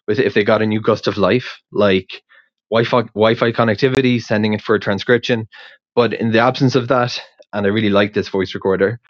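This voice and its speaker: Halting, lower-voiced man in a dull recording. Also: no room sound. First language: English. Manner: hesitant, halting, circling back and repeating words. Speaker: podcast creator sending in a question. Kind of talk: casual rambling voice memo.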